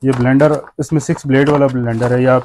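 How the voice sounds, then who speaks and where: A man demonstrates products in a small electronics shop, his voice mellow and rather dark. He talks briskly and politely, with a steady flow of "sir". The sound has the closeness of a phone camera held in the room. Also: medium pitch, a little hiss or hum. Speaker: shop salesman. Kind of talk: product demo sales pitch.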